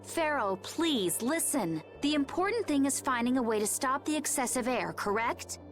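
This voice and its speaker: Casting: poised young female fighter in a game, high voice, resolute yet gentle, faint music behind. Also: lively intonation. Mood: earnest resolve. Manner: measured, poised, earnest.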